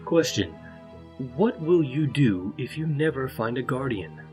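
A man's voice: medium pitch, expressive, measured pace, darkish tone, faint music behind. A man narrating a scripted dramatic reading in a formal, announcing manner. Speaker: narrator and reader.

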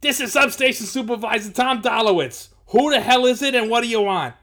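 A man voicing a gruff, irritable, bellowing station boss in a comic audio story. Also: extremely high pitch, expressive, a little fast, fairly bright tone, voice pushed up. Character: grumpy, profane, bellowing male power station supervisor.

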